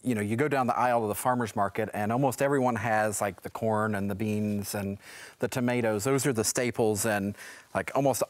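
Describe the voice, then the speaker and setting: An American man in his thirties talks as an educator on a panel. His voice sits slightly low and his delivery is conversational. The recording is close and dry. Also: fairly even intonation, a little fast, no echo. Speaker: extension educator guest. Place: TV studio. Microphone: lapel mic.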